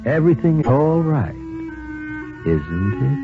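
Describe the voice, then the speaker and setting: A man's voice: medium pitch, big pitch swings, takes it slowly. A man hosting a 1950s radio anthology show in an announcer's style. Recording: muffled 1950s radio recording, music behind. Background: music.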